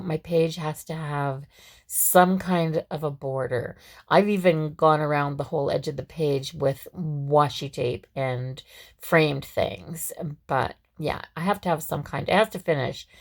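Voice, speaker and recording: Low, gravelly woman. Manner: chatty, with frequent fillers and restarts. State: scratchy, hoarse voice. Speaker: craft vlogger. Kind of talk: casual step-by-step craft tutorial.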